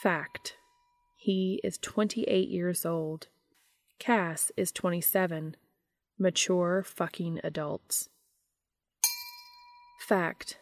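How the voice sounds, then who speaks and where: A woman's voice: lowish voice, steady tone, drawn-out words, pauses often, dry recording. A woman hosting a podcast, reading a story aloud in scripted audiobook-style narration. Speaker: podcast host reading fan fiction aloud.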